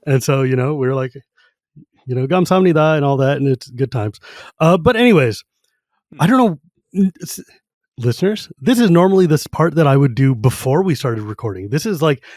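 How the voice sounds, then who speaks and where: A man's voice: a little high, expressive, fast talker, a little mellow, no echo, studio quality. A man in his thirties from California hosting a podcast, chatting casually in a rambling way with lots of filler words and easy laughter. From San Jose, California. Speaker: podcast host. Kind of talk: casual chat.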